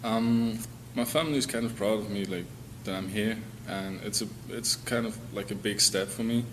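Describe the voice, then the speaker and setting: A man's voice: fairly low pitch, moderate pace, big-room sound, noisy background. A man in his twenties with a German accent giving casual interview answers. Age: 20s. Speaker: student athlete interviewee.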